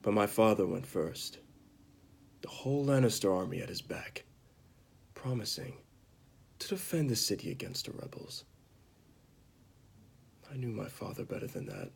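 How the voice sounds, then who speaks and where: A man playing a bitter disgraced knight confessing to killing a mad king, in a halting, dramatic amateur monologue that drops into whispers. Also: fairly low pitch, animated, gravelly, slightly rough, full character voice. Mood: grave, confessional.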